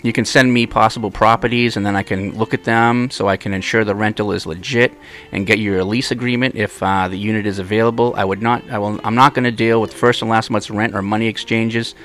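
A man's voice: fairly low pitch, steady tone, fairly quick, a bit bright. Chatty man hosting a podcast. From Boston. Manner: chatty, rambling, acts out quoted people. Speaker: podcast host. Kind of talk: casual podcast chat.

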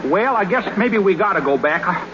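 Bashful young ballplayer, high and folksy, tongue-tied, full of excuses, muffled 1930s radio comedy. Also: male voice, expressive, fairly quick, noisy background. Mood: bashful, wistful. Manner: stammering, folksy ungrammatical speech.

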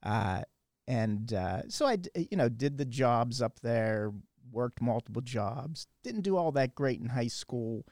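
A man's voice: mid-range voice, expressive, measured pace, a little mellow, no echo, studio quality. A man in his sixties from western Pennsylvania, a podcast guest telling his story in a rambling way with many fillers and restarts. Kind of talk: casual storytelling.

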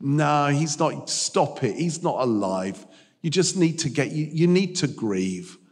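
A man's voice: a little high, animated, measured pace, pitched above the performer's own voice. A preacher plays a stubborn male doubter from a Bible story, exasperated and dismissive. He repeats himself bluntly and insistently, his words ringing in a big, reverberant hall. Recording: reverberant church hall sound.

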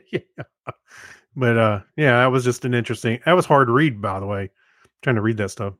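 A man co-hosting a casual podcast, reading items and chatting haltingly, often stumbling over his words. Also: mid-range voice, a bit bright, dry recording, clean studio sound. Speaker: podcast co-host.

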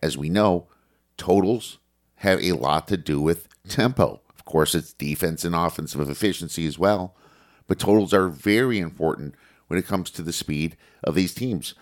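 A man with a head cold, sounding congested, going through a list of sports notes in a casual podcast with a steady reading delivery and some fillers. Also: sits low, moderate pace. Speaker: sports betting podcast host.

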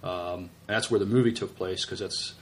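A man with a deep, low voice co-hosts a casual podcast discussion. He speaks haltingly and tentatively, doubling back on his words, over faint background noise. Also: fairly even intonation. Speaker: podcast co-host.

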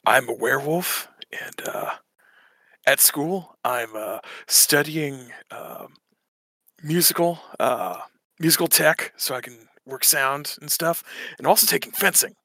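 A man playing a twenty-year-old werewolf college student who talks haltingly, full of fillers. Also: a little high, bright, forceful tone, husky, dry recording, full character voice. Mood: awkward. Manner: halting, hesitant with many fillers. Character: young male werewolf college student, awkward. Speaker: player character.

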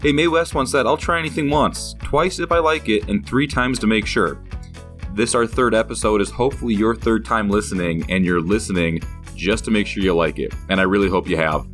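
A man's voice: on the low side, fairly quick, a bit bright, faint music behind. An American man hosting a podcast interview in an office studio, conversational and complimentary toward his guest. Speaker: podcast host and chamber executive director.

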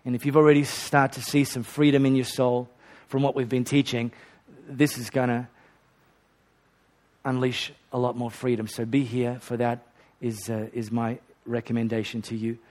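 A man's voice: medium pitch, level tone, moderate pace, darkish tone. A male pastor preaching a sermon and leading an altar call in a church. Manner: earnest, leads a repeat-after-me prayer. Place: hot church auditorium.

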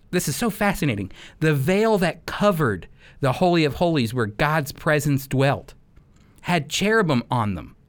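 Higher-pitched man teaching the Bible, earnest. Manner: earnest, emphatic explaining with repeated key phrases. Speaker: Bible teacher and program host.

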